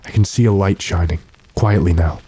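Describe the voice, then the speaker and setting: Deep, mellow gentleman investigator played by a man. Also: breathy edge, no echo. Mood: hushed watchful. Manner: measured, deliberate, matter-of-fact. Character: calm, authoritative gentleman investigator addressed as sir. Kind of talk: classic radio drama acting.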